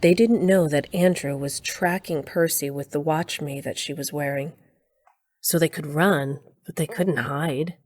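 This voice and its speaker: An actress playing a storyteller who narrates an audio drama in a measured, matter-of-fact way. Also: female voice, sits low, steady tone, a little mellow, no room sound. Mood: knowing, measured. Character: matter-of-fact female storyteller recounting her dark tale. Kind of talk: scripted narration.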